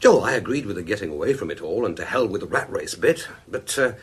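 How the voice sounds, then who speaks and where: A affectionate British husband in a radio play. His voice is low and slightly husky, with very lively intonation. He comes across as urbane, wry and protective. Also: quick pace. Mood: wry, amused. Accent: British English. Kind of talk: radio drama acting.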